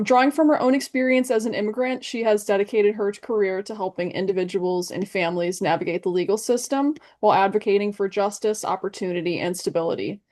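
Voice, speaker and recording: A woman intern reads a guest introduction in a polite, formal way, with a mid-pitched, ordinary voice. Her delivery is careful and scripted, with a few small slips. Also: a little mellow. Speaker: social justice intern, interviewer.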